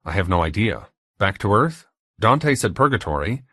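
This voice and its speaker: A man's voice: on the low side, big pitch swings, normal speed, pushed and bright, no room sound, clean studio sound, full character voice. A man voicing a weary, defiant American captive from a novel, in a plain, blunt manner. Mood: earnest.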